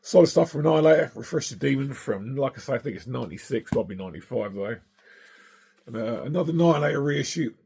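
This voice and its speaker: A middle-aged man with a hoarse, husky voice from singing, chatting casually and enthusiastically about records he has collected, with plenty of ums and uhs. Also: mid-range voice, a little mellow, a little hiss or hum. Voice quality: gravelly, husky. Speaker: vinyl collector vlogger.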